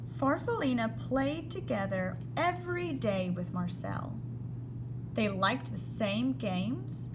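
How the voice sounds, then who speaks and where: A woman reading a children's storybook aloud in an expressive narrating style. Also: fairly high pitch, background noise. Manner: slow, expressive read-aloud delivery. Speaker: storybook reader.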